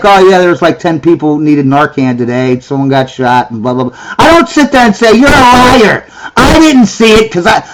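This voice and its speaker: A man's voice: sits high, poor audio. A man giving reaction commentary in a ranting, sarcastic way, often repeating himself.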